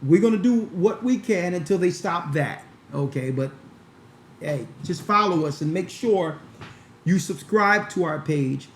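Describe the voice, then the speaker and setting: A church elder speaks quickly and rambles, restarting phrases as his thoughts run ahead. His voice is a bit high and bounces around an echoey room with a faint hiss. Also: expressive. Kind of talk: talk show commentary.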